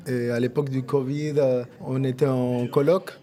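A man's voice: mid-range voice, flat delivery, normal speed, mellow. A man from Argentina with an Argentine Spanish accent, a native Spanish speaker, answering informally in a casual interview and often repeating words. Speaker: interviewee, musician and café co-founder.

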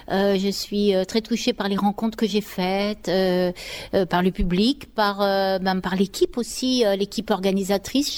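A middle-aged woman speaks as an interview guest. Her voice sits at an average pitch. She answers off the cuff, thoughtfully, pausing to hunt for the right words. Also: darkish tone, clean tone. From Paris, France. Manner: hesitant, searching for words, reflective. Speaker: interview guest, children's songwriter.

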